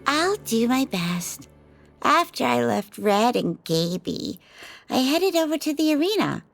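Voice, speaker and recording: A woman's voice: fairly high pitch, very animated, measured pace, gravelly, full character voice. A woman voicing a wild, cocky female fighter who talks in a playful, taunting way. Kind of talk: ASMR audio drama roleplay. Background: background music.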